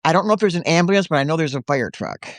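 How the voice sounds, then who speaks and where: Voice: medium pitch, sing-song, very fast, dry recording. Chatty laughing livestream host, dry sound. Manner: chatty, laughs often, rambling. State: recovering from a bad cold. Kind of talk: casual live-video chat. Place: at home in the countryside.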